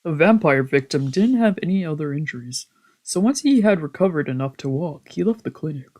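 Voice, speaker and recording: A streamer reading a visual novel aloud in a playful, expressive way, with casual asides. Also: medium pitch, fairly quick. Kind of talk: let's play voice acting with casual asides.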